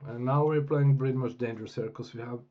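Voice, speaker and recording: A man from Norway with a Norwegian accent, English not his first language, thinking aloud in casual gameplay commentary with halting restarts and fillers. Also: mid-range voice, fairly even intonation. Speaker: gaming YouTuber. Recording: dull lo-fi audio over loud jet game noise. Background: loud flight-sim engine noise.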